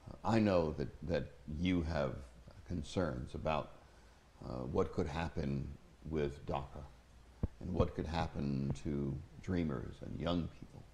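An older man hosting a talk show, asking measured interview questions with emphatic rises in an ornate living room. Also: very deep voice, a little mellow, slight background noise.

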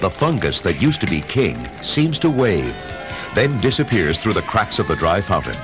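A man narrating an adventure story in a measured, dramatic scripted style. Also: deep voice, very animated. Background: dramatic music.

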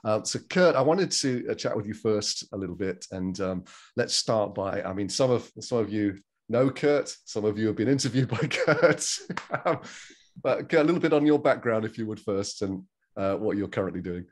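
A man's voice: lowish voice, expressive, moderate pace, a little hiss or hum. A man hosting an online meeting on a video call, welcoming and chatting informally with participants. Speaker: webinar host and moderator. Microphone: video call microphone.

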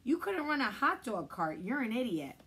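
A grumpy old man in his eighties, as a woman reading a storybook aloud puts him on. He barks and bellows each line, curt and stubborn, with a voice pushed loud. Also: a little high, big pitch swings, normal speed, a little hiss or hum, pitched above the performer's own voice. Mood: gruff.

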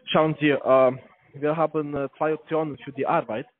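Man, slightly high voice, foreign accent, non-native, hesitant telesales pitch, dry tinny phone sound. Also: level tone. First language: not German. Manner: halting, many hesitations. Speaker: investment telesales agent.

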